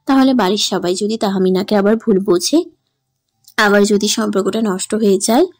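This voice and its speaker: A woman's voice: medium pitch, a little mellow, no room sound, clean studio sound. A woman narrating a scripted dramatic story as its storyteller.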